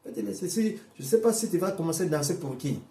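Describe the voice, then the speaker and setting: Emphatic preacher, dark echoey voice. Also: male voice, fairly high pitch, expressive. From Portuguese-speaking Africa. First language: Portuguese. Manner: emphatic, repeats and restarts phrases, shifts between slow stress and quick bursts. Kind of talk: sermon.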